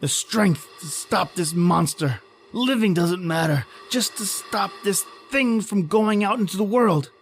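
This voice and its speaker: A man playing a desperate, grieving man in an old-time horror radio drama, overwrought and halting. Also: high-pitched, faint music behind. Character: anguished desperate man, grieving, defiant against a monster.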